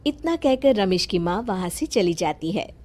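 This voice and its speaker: A woman narrating a moral cartoon story in a plain, even storytelling manner. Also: medium pitch, normal speed, a little hiss or hum.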